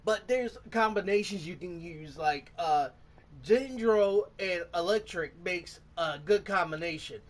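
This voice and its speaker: A man hosting a gaming channel, giving casual, halting commentary and often groping for words. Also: sits high. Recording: voice distant from the mic.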